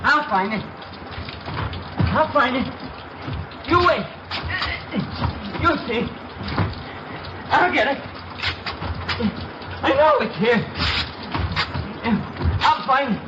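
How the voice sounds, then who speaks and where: An actor playing a desperate, evasive murder suspect in a 1950s radio crime drama, insistent and repetitive. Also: male voice, very high-pitched, animated, extremely slow, long pauses, exaggerated voice. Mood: frantic, obsessive. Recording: muffled old radio recording, loud rain-like noise.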